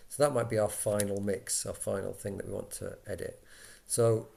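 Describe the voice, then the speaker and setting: A man talking through an informal software tutorial, casual and a little hesitant as he thinks aloud into a laptop microphone. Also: on the low side, flat delivery, moderate pace, creaky. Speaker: tutorial presenter for radio volunteers. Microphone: built-in laptop microphone. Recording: laptop mic, background noise, key clicks.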